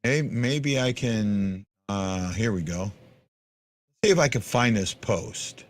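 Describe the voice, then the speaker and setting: A man's voice: fairly low pitch, lively intonation, takes it slowly, no room sound. A man in his fifties hosting a hockey fan show as a casual livestream chat, blunt and prone to repeating himself. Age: 50s. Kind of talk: casual livestream chat answering viewers' comments.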